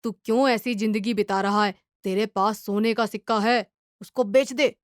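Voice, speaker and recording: A woman's voice: medium pitch, fairly even intonation, moderate pace, dry recording, studio quality, lightly acted. A woman voicing a young village man in earnest dialogue for a moral story. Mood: urging. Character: two young village men, friends, talking earnestly.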